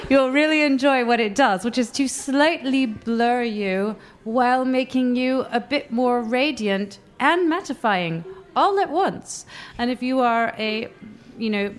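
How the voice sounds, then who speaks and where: A woman from London giving a wry, self-mocking product recommendation, laughing as she goes. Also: fairly high pitch.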